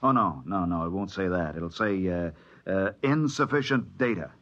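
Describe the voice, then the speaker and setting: An actor playing a bitter, fatalistic spaceship engineer, sardonic and theatrical, in a 1950s radio drama on an old recording. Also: male voice, low-pitched, moderate pace, fairly bright tone, muffled recording. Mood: bitterly mocking. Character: bitter, fatalistic spaceship engineer, mocking and paranoid about machines.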